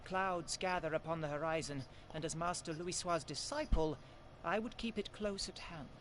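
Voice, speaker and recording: Man acting as young fantasy game scholar, high-pitched, earnest, formal, dutiful, faint ambience. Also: moderate pace, fairly bright tone, slight background noise, close to an everyday voice. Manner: formal, solemn.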